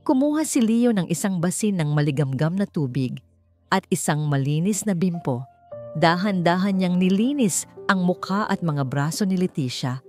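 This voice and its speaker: Mellow low-voiced Filipino woman narrating. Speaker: story narrator. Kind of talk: scripted dramatic narration of a romance story. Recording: faint music bed under the voice. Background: soft background music.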